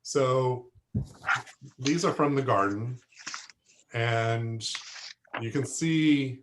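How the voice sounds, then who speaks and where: A male horticulture instructor lecturing slowly and unhurriedly at an ordinary pitch. His voice is going hoarse, and he keeps pausing to clear his throat between halting phrases full of fillers. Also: noisy background. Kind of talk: lecture to a class.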